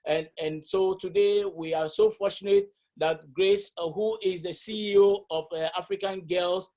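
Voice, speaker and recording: A man hosts an online seminar and introduces the next presenter. His voice sits a little high for a man, and he stumbles through names with plenty of uhs and false starts. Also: steady tone, measured pace, darkish tone. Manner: hesitant, many fillers and restarts. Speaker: webinar host. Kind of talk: introducing a speaker. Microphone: video call.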